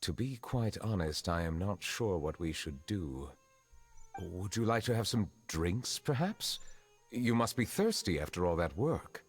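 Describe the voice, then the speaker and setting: A man voicing a courteous, reserved, centuries-old chief justice in a video game, speaking formally and with measured composure. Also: low-pitched, sing-song, breathy edge, soft background music, heavily acted. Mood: politely apologetic. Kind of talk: English video game dub acting.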